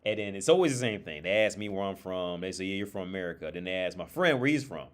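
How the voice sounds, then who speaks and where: A man's voice: low-pitched, animated, fast talker. A man hosting a livestream, talking in a casual, rambling monologue with informal, profane phrasing and frequent repeated, restarted phrases. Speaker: livestream host.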